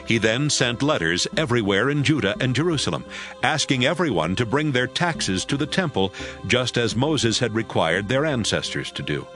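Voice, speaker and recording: A man narrating an audio Bible drama from a script in a measured storytelling manner. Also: medium pitch, sing-song, a bit bright, soft background music.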